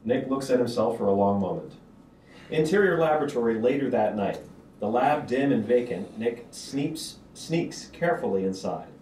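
A man's voice: mid-range voice, expressive, normal speed, a little mellow. A man reading screenplay stage directions aloud as narrator at a table read. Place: stage of a small auditorium. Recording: roomy auditorium, distant mic, background noise.

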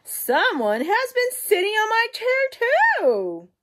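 A woman voicing a fussy storybook mother bear who exclaims in a high, shrill voice. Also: wildly swooping pitch, takes it slowly, fairly bright tone, exaggerated voice. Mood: shocked. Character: fussy mother bear, female, medium-sized.

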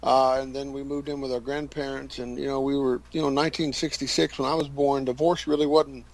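A man in his forties with a Kentucky accent, a radio interview guest telling his life story in an earnest, halting way with many fillers. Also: medium pitch, little pitch movement, vocal fry, poor audio. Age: 40s.

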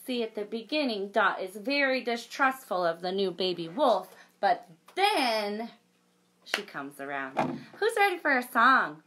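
A woman in her thirties reading a picture book aloud to children, expressive, with chatty asides to young listeners. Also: medium pitch, a little slow, slight background noise. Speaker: library story time presenter.

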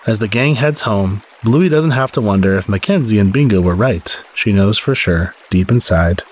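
A man narrates a children's picture book aloud. His voice sits fairly low and has a husky grain. He varies his intonation a lot, clearly telling a story to young listeners. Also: lively intonation, moderate pace. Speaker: story podcast host and reader.

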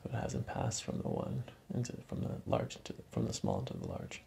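A middle-aged man working as a chiropractor, calmly guiding a patient in short, reassuring phrases in an ASMR style. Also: very deep voice, brisk, creaky, slight background noise. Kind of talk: calm hands-on ASMR treatment talk, instructing a patient. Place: small carpeted treatment office, indoors. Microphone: microphones on boom stands near the table.

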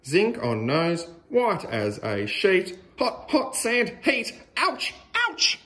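An Australian man reading a picture book aloud to young children in a deliberate, sing-song way with exaggerated emphasis. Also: sits high, huge pitch swings, sharp tone.

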